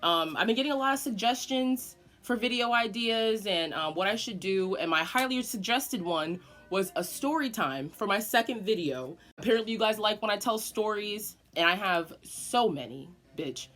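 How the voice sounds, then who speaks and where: A woman's voice: medium pitch, moderate pace, hardly any pauses, faint music behind. An eighteen-year-old woman telling a dramatic storytime to her vlog viewers, swearing freely. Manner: animated, dramatic, swearing often. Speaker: vlogger. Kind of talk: casual storytime.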